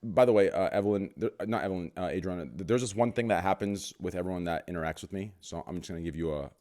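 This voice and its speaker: A man with a deep voice, hosting a casual podcast chat, talking in an excitable, heated way and swearing a lot. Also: fast talker. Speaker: podcast host.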